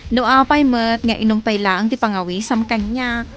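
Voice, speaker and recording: A woman's voice: on the high side, normal speed, highs cut off, lightly acted. Woman acting young Ilocano radio drama girlfriend, music behind. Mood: pleased, hesitant. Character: Megan, hardworking young woman, hurt by deceiving boyfriend.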